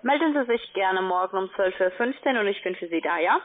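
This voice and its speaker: A woman's voice: medium pitch, lively intonation, a little fast. A woman, a German speaker, talking formally as a financial adviser on a sales call over the telephone. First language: not German. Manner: formal, non-native phrasing. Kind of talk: phone sales conversation. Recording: tinny narrowband phone line.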